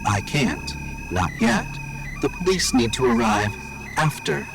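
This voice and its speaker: A man voicing a calm, calculating and sinister AI house assistant in audio horror fiction, measured and matter-of-fact. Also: medium pitch, vocal fry, faint music behind, voice pushed up. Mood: coldly explaining.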